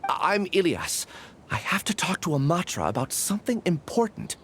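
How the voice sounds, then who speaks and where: A man's voice: sits high, sing-song, normal speed, a little hiss or hum. An actor playing a weak, struggling but stubborn and desperate young man in a video game. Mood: urgent, strained. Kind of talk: video game character dialogue.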